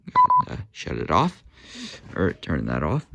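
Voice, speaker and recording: A man's voice: deep voice, wildly swooping pitch, slow, vocal fry, muffled recording, heavy background noise. A man casually demonstrating walkie-talkies, hesitant, with many fillers and corrections of himself. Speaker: gadget reviewer.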